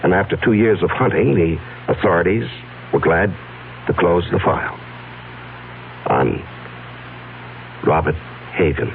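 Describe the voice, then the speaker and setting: A narrow, faintly hissy old radio broadcast carries a low male voice. It is an anguished fugitive with a secret past, earnest and halting, pausing heavily in classic radio drama style. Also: creaky. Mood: troubled, confessing. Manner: halting, earnest, heavy pauses. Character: anguished fugitive man confessing a hidden past to his fiancée. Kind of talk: 1970s radio drama acting.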